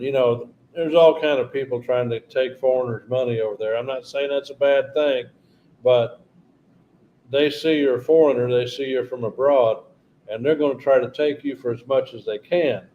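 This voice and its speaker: A man's voice: mid-range voice, steady tone, normal speed, narrow, tinny audio, a little hiss or hum. Rambling middle-aged man on a live stream. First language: English. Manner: rambling, reminiscing, talking to viewers in chat. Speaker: channel host doing a live stream. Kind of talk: casual live-stream chat.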